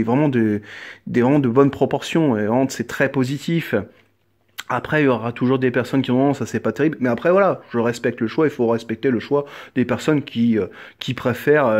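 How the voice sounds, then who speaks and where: A man's voice: mid-range voice, a little mellow. A man chatting casually as an amateur radio operator, earnest and hesitant, often repeating himself as he goes.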